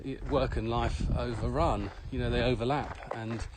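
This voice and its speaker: Male podcast co-host, middle-aged, mid-pitched, opinionated conversational delivery, noisy recording. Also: steady tone, moderate pace. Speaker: podcast co-host and management adviser. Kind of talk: casual podcast discussion. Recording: clearly noisy background with clicks.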